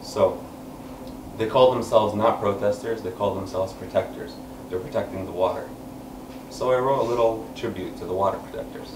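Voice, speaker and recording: Guy at an open mic talking low and earnest, kinda far from the camera, roomy and noisy. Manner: earnest, gesturing while talking, many pauses. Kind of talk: spoken word poetry and explanation. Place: small indoor room, seated on a couch with an audience. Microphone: far camera, no mic seen. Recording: echoey room, camera from far off, background noise.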